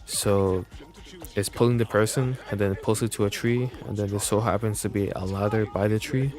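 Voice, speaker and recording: Low-voiced, rambling young male streamer. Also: steady tone, music in the background. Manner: rambling, halting delivery. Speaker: game streamer. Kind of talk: casual livestream chat.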